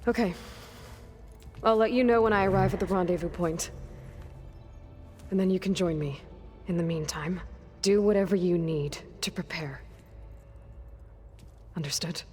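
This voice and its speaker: A woman's voice: lowish voice, normal speed, long pauses, a little mellow, natural voice. An actress playing a determined, plain-spoken young warrior who leads her allies in a video game cutscene. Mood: assured. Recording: long reverb, music behind. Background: music.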